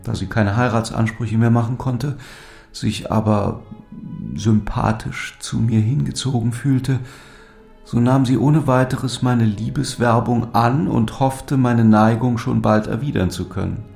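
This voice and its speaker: An actor playing a lovesick, despairing young lord who speaks in earnest, solemn, literary German drama style. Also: male voice, medium pitch, fairly even intonation, a little slow, vocal fry, darkish tone. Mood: melancholy confession. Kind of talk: German audio drama acting. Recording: music under the voice.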